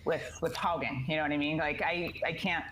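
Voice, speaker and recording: A woman's voice: deep voice, fairly even intonation, brisk, a bit bright, slight background noise. A woman answering interview questions casually, enthusiastic but hesitant, as a voice director and actor.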